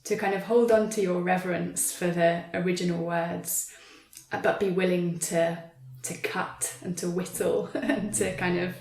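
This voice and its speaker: A woman talking thoughtfully in an interview as a writer, choosing her words carefully and often pausing to rephrase. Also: on the low side, fairly even intonation, darkish tone. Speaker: writer adapting an audio drama for stage. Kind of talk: thoughtful conversational interview talk. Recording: roomy, echoey sound.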